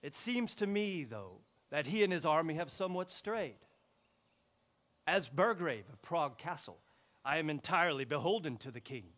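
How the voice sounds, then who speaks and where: An actor playing a courteous, shrewd castle lord in a video game, speaking in a measured, drily ironic way. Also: male voice, a little high, a bit bright. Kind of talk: scripted video game cutscene acting.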